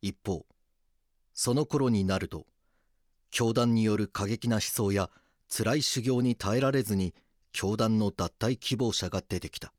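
A man reading scripted documentary drama narration in a grave, measured manner. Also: lowish voice, no echo, clean studio sound.

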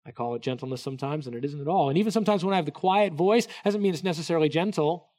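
A middle-aged man preaching a sermon, earnest, dropping to a hush and then rising to emphatic peaks, with many restarts. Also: fairly high pitch, racing. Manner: earnest, wide swings between soft hushed and raised emphatic delivery; many restarts and repeated words. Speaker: pastor.

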